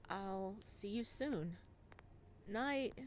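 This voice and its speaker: A woman voicing a shy, intense, brooding man from a visual novel, in a soft, halting, restrained manner. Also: mid-range voice, animated, slight background noise. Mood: shy, tender.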